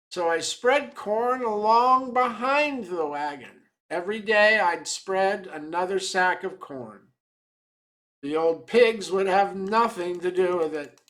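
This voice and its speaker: A storyteller voicing a wily, matter-of-fact swamp trapper with deliberate, step-by-step delivery in a dramatic tale reading. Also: medium pitch, fairly bright tone.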